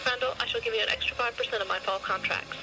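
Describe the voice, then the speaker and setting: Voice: mid-range voice, fairly even intonation, fast, voice pushed up, natural voice, poor audio. A performer voicing a vain, suffering female fashion model from the future in an audio science fiction story, with music behind. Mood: pleading, earnest.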